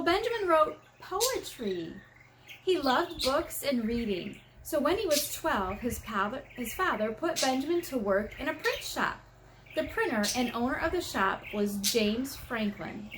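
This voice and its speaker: American female elementary teacher for children's read-aloud, higher-pitched, very animated, expressive teacherly delivery. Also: slight background noise, rough recording. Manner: expressive, teacherly, emphasizes and repeats key words, questions listeners. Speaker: elementary teacher reading a picture book aloud.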